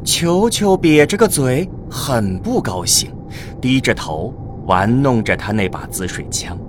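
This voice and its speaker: A man's voice: mid-range voice, sing-song, a little slow. A man narrating a scripted radio drama as a storyteller. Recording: clearly noisy with ambient vehicle sound.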